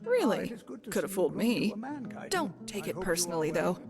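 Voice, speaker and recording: A woman's voice: lowish voice, sing-song, normal speed. A woman voicing a guarded, breezy desert-born warrior woman in a video game, talking in a wry, casual way. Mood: wry, grumbling. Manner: wry, casual, brush-off banter. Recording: long reverb, music behind. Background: game music.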